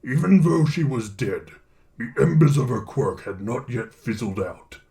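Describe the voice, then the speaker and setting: A man voicing a grave, protective alien symbiote with a gravelly, solemn and measured delivery. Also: medium pitch, animated, dark tone. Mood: gentle, grave.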